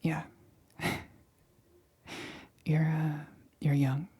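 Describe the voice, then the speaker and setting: Woman playing a nervous lady scientist, asmr roleplay, super breathy whisper, low and kinda flat, halting and stammery. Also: exaggerated voice. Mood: nervous, protective. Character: nervous female scientist examining an alien specimen.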